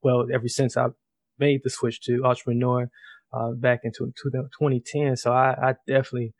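A man's voice: mid-range voice, flat delivery, brisk, no echo. A man hosting a podcast interview in an informal, conversational way, often stumbling and repeating words. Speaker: podcast host.

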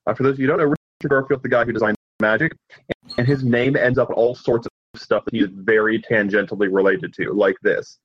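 A man with a fairly low voice shares opinions in a casual review chat. His microphone is dull and lo-fi. The sound is dry, with hardly any room around him. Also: fairly even intonation, brisk, slightly rough, no echo. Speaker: podcast co-host and reviewer. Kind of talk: casual opinionated board game discussion.

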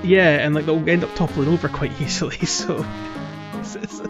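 A man's voice: on the high side, normal speed. A man chatting casually as the co-host of a podcast. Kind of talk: casual chat. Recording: dull lo-fi audio, faint music.

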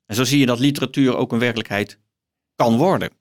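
A middle-aged man explaining books in conversation as an interview guest, thoughtfully and haltingly, repeating words as he searches for phrasing. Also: medium pitch. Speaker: guest, professor.

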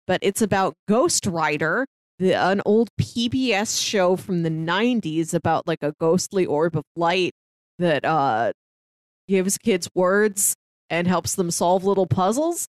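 A woman's voice: fairly low pitch, unhurried, no room sound. A woman co-hosting a podcast, chatting casually. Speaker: podcast co-host.

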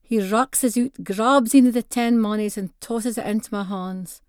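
A woman's voice: a little high, normal speed, clean tone, no room sound, studio quality. A woman with a North-East Scottish accent expressively reading a first-person short story in dialect. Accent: North-East Scottish (Doric). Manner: expressive, acts out dialogue in dialect.

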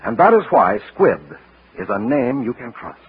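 A man giving formal, measured 1940s radio announcements and sponsor copy. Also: fairly high pitch, huge pitch swings, vocal fry, very noisy. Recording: tinny vintage radio broadcast.

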